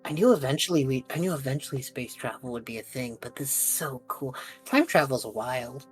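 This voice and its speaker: A woman's voice: very deep voice, brisk, soft background music. A woman playing an awkward, wide-eyed young male time traveller in improvised tabletop role-play, rambling eagerly. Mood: eager, awed.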